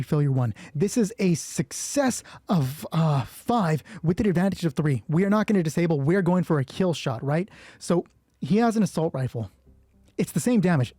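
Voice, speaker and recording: A man's voice: on the high side, dark tone, no room sound. A man game mastering a solo roleplaying game, thinking aloud through dice rolls in casual, sweary commentary.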